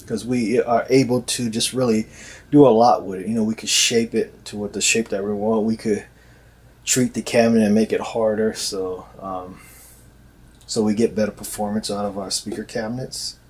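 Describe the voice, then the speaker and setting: Low-voiced man, halting casual explainer. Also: flat delivery, a little mellow, breathy edge. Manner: hesitant, repetitive, halting delivery. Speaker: loudspeaker maker presenting products. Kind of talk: casual unscripted product explainer. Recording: noticeable background noise, amateur video sound.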